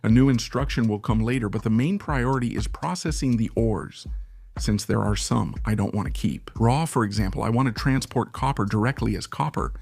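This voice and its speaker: A man, hoarse from being sick, giving casual gameplay commentary and thinking aloud as he plans and second-guesses his build. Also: medium pitch, a little fast, darkish tone, no echo. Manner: thinks aloud, unsure and musing. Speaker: gaming channel host.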